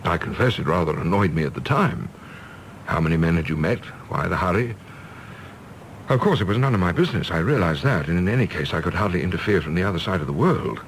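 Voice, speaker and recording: Middle-aged English diplomat, earnest and rueful, low creaky voice, formal radio drama delivery. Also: male voice, lively intonation, quick pace, poor audio. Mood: reserved, annoyed. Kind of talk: radio play acting. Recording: faint background noise and ambience.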